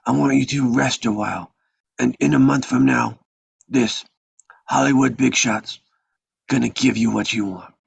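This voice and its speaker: An actor playing a stern, commanding old mafia patriarch with a gravelly, husky voice in a script table read. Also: male voice, medium pitch, fairly even intonation, moderate pace, pauses often, no room sound. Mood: calm, commanding.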